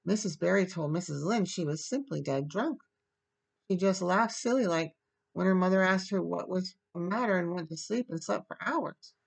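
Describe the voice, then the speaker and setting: A woman's voice: on the low side, moderate pace, gravelly, pitched above the performer's own voice. A woman voicing an earnest, dramatic young orphan girl in a storybook, imploring and effusive. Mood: woeful.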